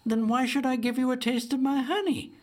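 A man putting on a high gruff she-bear. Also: brisk. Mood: wary. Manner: high, grumbling. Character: shy but gruff female bear, honey keeper.

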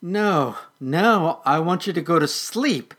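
Storyteller doing a grumpy tired furry monster guy, loud grumbles, animated, storybook style. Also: medium pitch, a little slow, husky. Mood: exasperated. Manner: grumbling, muttering, exasperated. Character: grumpy furry male creature, tired, gruff but soft-hearted.

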